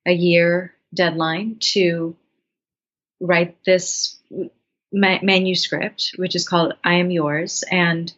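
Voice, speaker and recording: Earnest low-voiced woman in her thirties. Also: level tone, takes it slowly, fairly bright tone. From Bangladesh. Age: 30s. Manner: thoughtful, earnest, with frequent restarts. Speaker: guest, writer and actress. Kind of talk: reflective interview talk. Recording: dull lo-fi sound.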